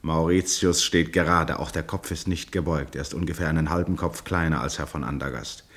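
An actor as a detached narrator of a literary radio drama, reading in a sober, precise, matter-of-fact way. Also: male voice, very deep voice, fairly even intonation, a little fast, fairly bright tone.

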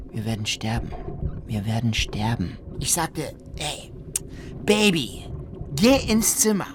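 Ein Schauspieler spielt einen Ehemann, der in einem dramatischen Monolog eindringlich und entschlossen von seiner Flucht vor einer Flut erzählt. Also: male voice, mid-range voice, noisy background, close to an everyday voice. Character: married man recounting a flood escape, urgent and determined. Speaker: radio drama actor. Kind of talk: dramatic first-person survivor monologue.

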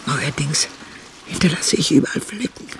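An actress playing a sardonic, stubborn old woman in care in a literary radio drama, with a husky, breathy voice and a dry, deliberate delivery. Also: low voice, a little hiss or hum. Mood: anxious. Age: older. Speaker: lead actor and narrator in a radio drama.

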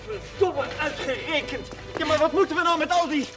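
Flustered, high-pitched husband. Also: male voice, moderate pace, bright, forceful tone. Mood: indignant panic. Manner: agitated, protesting. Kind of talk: television drama acting. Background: music.

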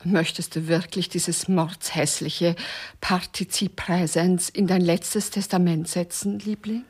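A woman's voice: lowish voice, steady tone, a little slow, darkish tone, slightly rough. An actress in a radio play playing a sardonic, bossy female companion, dry and correcting with mocking endearments. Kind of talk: German radio play acting.

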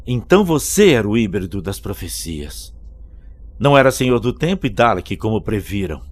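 An actor playing a serious, cautious and dryly fatalistic male guide in an audio drama, speaking gravely. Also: lowish voice, big pitch swings, normal speed. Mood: accusing. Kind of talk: scripted audio drama acting. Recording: long reverb under music.

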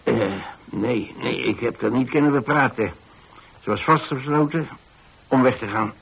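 A man's voice: medium pitch, a little slow, gravelly, a bit bright, slight background noise, poor audio. An older man, a radio actor playing a kindly retired man who tells his story in a hesitant, rambling, conversational way. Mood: wistful. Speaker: radio actor, narrating lead.

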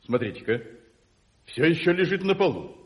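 An actor playing a polite, awkward colonel in a radio play, speaking in a hesitant, measured way. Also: male voice, a little high, big pitch swings. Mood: uneasy. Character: polite, awkward English colonel, embarrassed host. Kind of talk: 1980s Soviet radio play acting.

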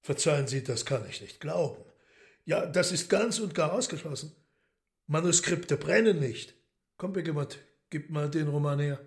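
An actor playing Satan as an urbane, commanding gentleman in a radio drama, probing and ironic. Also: fairly high pitch, moderate pace, lightly acted.

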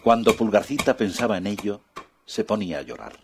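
A man narrating a children's fairy tale in an expressive, soft-spoken way. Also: on the low side, a little fast, loud music behind the voice. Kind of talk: children's storytelling.

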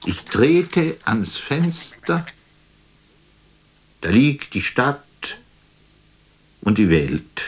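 An actor playing a melancholy, self-doubting stage player in a radio drama, speaking in a declamatory, poetic way that moves between murmur and outcry. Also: male voice, medium pitch, big pitch swings, extremely slow, long pauses, slightly rough, no room sound, exaggerated voice. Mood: impassioned. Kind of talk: theatrical radio drama monologue.

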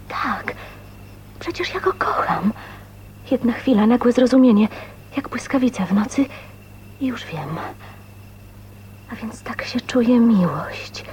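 Shy dreamy spinster around 29, wistful n emotional, hesitant, radio play with a bit of noise. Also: female voice, fairly high pitch, darkish tone. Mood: hushed, awestruck love. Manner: dreamy, emotional, hesitant phrasing with breathy whispers. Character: shy, dreamy 29-year-old spinster, wistful and newly in love. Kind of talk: radio drama acting, intimate monologue and dialogue. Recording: faint noise, 1980s radio drama.

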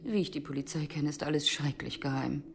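An actress playing a haughty, sarcastic television host in a radio crime drama, arch in manner. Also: female voice, low-pitched, steady tone, fairly quick, vocal fry, a little hiss or hum. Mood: annoyed, sarcastic. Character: haughty, sarcastic female TV host in festive dress.

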